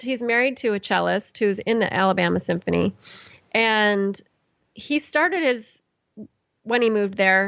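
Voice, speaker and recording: A woman's voice: mid-range voice, creaky, dry recording, muffled recording. A woman who is a classical musician, chatting on a podcast in a rambling way, with restarts and ums. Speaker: classical musician and podcast host, guest here. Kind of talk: casual podcast conversation.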